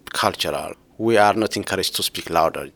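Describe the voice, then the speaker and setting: A man in his thirties from Ethiopia, soft-spoken and laughing often as he talks with his former co-advisor. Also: low voice, brisk, vocal fry. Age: 30s. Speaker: former PhD student, interviewee. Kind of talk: casual conversation.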